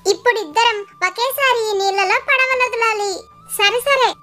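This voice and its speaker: A woman voicing playful, bickering young children in a cartoon story, excitable, teasing and singsong. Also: very high voice, moderate pace, soft background music. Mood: eager, playful. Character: squabbling young children, playful brother and sister.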